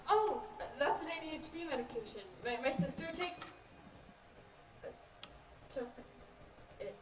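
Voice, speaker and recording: A young woman acting an earnest, uneasy peacekeeper with halting speech in a stage play. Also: high-pitched, lively intonation. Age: young adult. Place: indoor stage, recorded from afar. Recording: distant echoey stage recording, faint noise.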